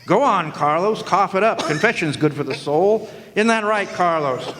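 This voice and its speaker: A man's voice: high voice, expressive, moderate pace, fairly bright tone. A man playing a wisecracking hard-boiled private detective in a radio play, with a theatrical, sardonic delivery. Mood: accusing, cocky. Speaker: narrator and lead actor in radio play. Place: live radio play in a hall. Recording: live stage, long hall reverb.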